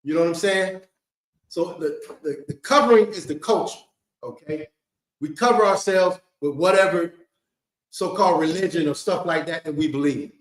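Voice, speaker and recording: A man's voice: on the high side, normal speed. Man preaching casually in an echoey hall. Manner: conversational, repeats "you know what I mean" and "okay?". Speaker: congregation leader. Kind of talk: informal sermon to a congregation.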